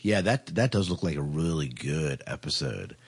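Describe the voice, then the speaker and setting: A man's voice: very deep voice, expressive, normal speed, breathy edge. A man hosting a casual podcast chat, often repeating and restarting his phrases as he talks. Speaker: podcast host.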